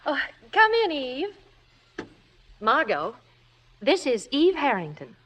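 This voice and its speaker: An actress playing a kindly, sociable wife of a playwright in an old radio drama. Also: female voice, sits high, wildly swooping pitch, takes it slowly, a bit bright, slight background noise, heavily acted. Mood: friendly. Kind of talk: 1940s-50s radio drama acting. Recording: old radio broadcast recording.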